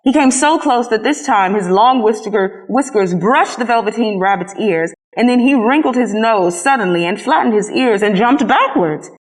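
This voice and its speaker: A woman reading a children's story aloud as a scripted narration, in an expressive storytelling manner. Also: fairly high pitch, moderate pace, few pauses, rough recording. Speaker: storybook reader.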